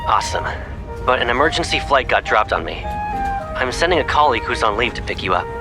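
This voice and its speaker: A man's voice: mid-range voice, lively intonation, brisk, close to an everyday voice. An actor voicing a cocky, playful young male pilot who teases his childhood friend, in video game style. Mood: teasing. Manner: playful, teasing, boastful. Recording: background music under the voice. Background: music.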